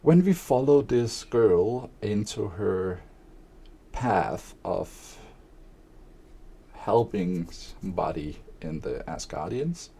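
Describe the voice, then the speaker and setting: A man speaking slowly and evenly in a monotone, with a gravelly, husky low voice. His unhurried, hesitant monologue is dark and mellow in tone. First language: Danish. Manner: hesitant, many fillers. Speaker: streamer and aspiring author. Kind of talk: casual spoken monologue.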